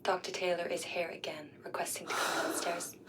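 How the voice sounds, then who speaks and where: A woman's voice: on the low side, level tone, a little fast, a bit bright, in a whisper, close to an everyday voice. A woman acting a polite, reserved assistant in a video game, subdued and deferential.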